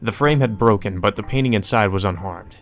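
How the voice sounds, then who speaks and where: A man with a fairly low voice reads through a visual novel as a let's player. His delivery is informal and colloquial, suited to both narration and casual commentary. Game music runs behind him. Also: brisk.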